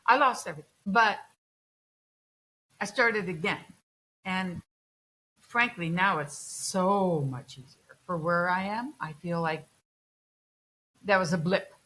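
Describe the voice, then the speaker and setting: A woman's voice: fairly low pitch, takes it slowly, long pauses, sharp tone, low-quality recording. A woman from Canada hosting a casual interview, chatty and empathetic, sharing her own experiences. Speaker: interview host.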